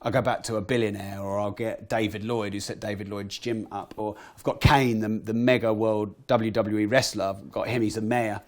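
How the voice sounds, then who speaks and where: Croaky man telling stories. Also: lowish voice, moderate pace, hardly any pauses. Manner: animated, swears casually. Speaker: guest entrepreneur. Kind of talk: podcast interview storytelling.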